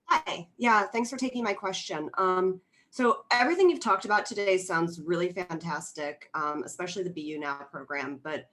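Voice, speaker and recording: A shy, introverted audience member played by a woman with a slightly low voice. She speaks tentatively, hesitating as she goes, in naturalistic audio drama style. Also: moderate pace, fairly bright tone, natural voice.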